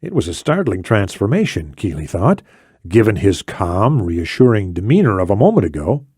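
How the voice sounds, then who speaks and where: Animated male thriller audiobook narrator, dry sound. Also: fairly low pitch, normal speed, breathy edge, dry recording. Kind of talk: dramatic scripted narration of a thriller.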